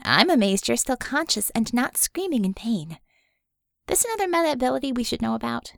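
A woman voicing a sarcastic female medic, quick, wry and clinical. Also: on the high side, lively intonation, gravelly, fairly bright tone, no room sound, clean studio sound, pitched above the performer's own voice.

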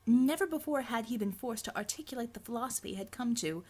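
A woman's voice: a little high, animated, fast talker, darkish tone, slightly rough. A woman reading a scripted fiction audiobook as a dramatic narrator.

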